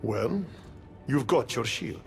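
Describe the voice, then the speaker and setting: An actor playing a smug, suavely mocking god of the underworld in a video game, sardonic and theatrical. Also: male voice, mid-range voice, expressive, takes it slowly, gravelly, faint music behind. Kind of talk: dramatic video game acting.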